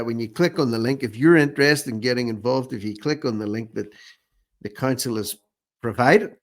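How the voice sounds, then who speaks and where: An Irish man delivers informal commentary at a middling pitch. He sounds scornful and sarcastic, and likes to repeat his phrases to drive them home. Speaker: online commentator, host.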